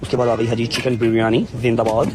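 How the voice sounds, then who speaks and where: A man's voice: mid-range voice, background noise. A man with a Pakistani Punjabi accent chatting casually as a vlog host, repeating himself for emphasis. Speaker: vlogger and host. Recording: lively crowd chatter behind. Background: people chattering at a meal gathering.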